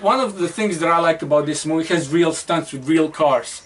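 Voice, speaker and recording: Man, high voice, rambling, filler-heavy, casual movie chat, distant mic. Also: moderate pace, fairly bright tone. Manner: rambling, many fillers and restarts. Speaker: co-host.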